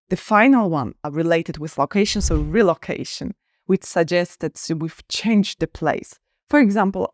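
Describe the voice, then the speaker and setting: A woman teaching an online English lesson, clear, upbeat and encouraging, slowing down to stress example phrases. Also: fairly low pitch, dry recording, studio quality.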